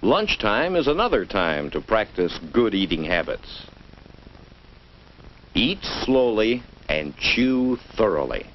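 An American man with a low voice and lively, swooping intonation narrates a 1950s educational film for children. He coaches the listener in a didactic, coaxing way, taking his time. The old soundtrack is muffled. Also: a little hiss or hum. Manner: didactic, coaxing, deliberate phrasing.

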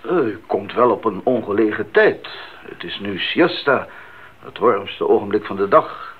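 A man's voice: fairly low pitch, animated, a little slow, slightly rough. An actor playing a polite man who greets visitors and explains local customs in a radio drama. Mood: polite, apologetic. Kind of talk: 1980s Dutch radio drama acting. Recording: narrow tinny old recording.

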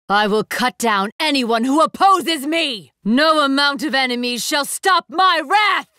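A woman's voice: sits high, pushed and bright, dry recording, clean studio sound, exaggerated voice. An actress voicing a proud, ruthless young female warrior for an anime fighting game, with a haughty, commanding, theatrical delivery. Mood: angry, scornful.

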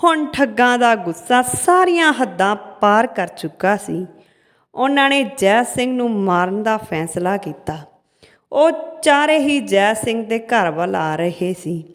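A woman narrating a folk tale as a storyteller, in a measured, halting delivery. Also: a little high, expressive. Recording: long hall-like reverb.

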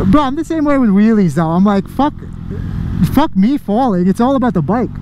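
A motovlogger chatting casually while riding, excitable, swearing and laughing often, recorded on a helmet mic outdoors. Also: medium pitch, measured pace, lo-fi sound. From Long Island. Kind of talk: casual ride commentary. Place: riding a motorcycle outdoors on public roads. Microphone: helmet-mounted mic. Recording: loud wind and engine roar. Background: engine and wind noise.

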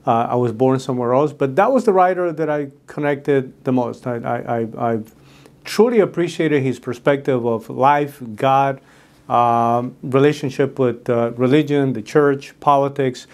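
A man's voice: mid-range voice, a little slow, a little mellow. A middle-aged man whose first language is Spanish, speaking with a Spanish accent as host in a casual talk to camera, earnest, with many fillers and restarts. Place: red-walled studio room with desk.

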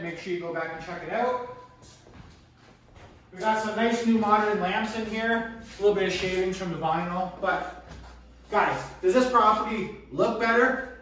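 A man's voice: high-pitched, a bit bright. A young man from Canada, a real estate investor, walks viewers through his renovation. He keeps calling them guys, upbeat and chatty, in an empty apartment with the camera a few steps away. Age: young adult. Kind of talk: walkthrough tour talking to camera. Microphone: camera held by another person, a few steps away. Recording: echoey empty room, mic at a distance.

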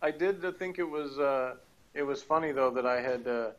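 A man's voice: medium pitch, moderate pace, dull audio. A man in his forties, a podcast co-host, chatting casually from a home office in a rambling, hesitant way with many fillers. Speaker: podcast co-host reviewing audio dramas. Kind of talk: casual chat. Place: home office with bookshelves, indoors.

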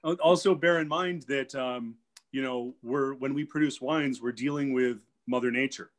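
A man's voice: mid-range voice, steady tone, normal speed. A man in his forties, a winery sales director, talking casually and explaining things with fillers over a video call from a room at home. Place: home room with shelves, indoors. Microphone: video call webcam.

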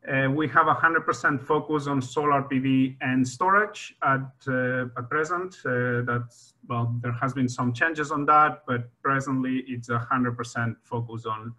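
A man with a Spanish accent talks through a slide presentation on a video call, his voice bright and forward. He speaks in loose, friendly phrases, often restarting and adding small laughs. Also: medium pitch, steady tone, a little slow, rough recording. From Spain. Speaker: engineer giving a presentation. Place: home room on a video call. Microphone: video call webcam.

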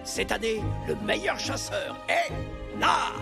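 An actor playing an old cartoon village elder, making solemn proclamations in a creaky voice. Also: male voice, very high voice, sing-song, slow, over loud music, exaggerated voice. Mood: ceremonious. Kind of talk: French cartoon dubbing.

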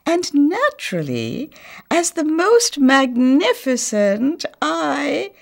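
Storybook grand dame house, regal and boastful, high and slow. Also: female voice, huge pitch swings, gravelly, full character voice. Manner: haughty, drawn-out delivery.

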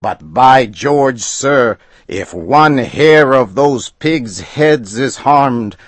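A man voicing a furious, indignant gentleman customer who shouts and blusters. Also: medium pitch, animated, very slow, dry recording, pitched above the performer's own voice. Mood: blustering. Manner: blustering, spelling things out angrily.

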